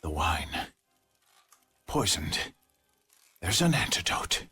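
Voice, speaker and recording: An actor playing an earnest gentleman treasure hunter in a video game, with a dramatic delivery. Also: sits low, very animated, takes it slowly, breathy edge, faint music behind, full character voice. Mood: weak, urgent. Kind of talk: video game character acting.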